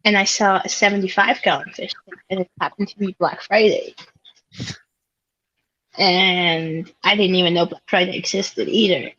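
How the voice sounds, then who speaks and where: A woman's voice: fairly low pitch, steady tone, moderate pace, fairly bright tone, no room sound. A young woman from Switzerland, a guest in a casual podcast chat, telling stories in a chatty way and laughing often. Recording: dull lo-fi sound.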